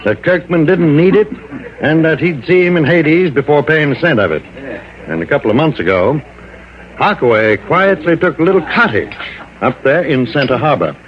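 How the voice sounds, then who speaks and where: A man's voice: on the high side, expressive, normal speed, close to an everyday voice. An American man playing a cautious, fussy insurance executive in an old-time radio drama, precise and formal but often hesitant and hedging. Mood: disapproving. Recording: dull vintage radio recording.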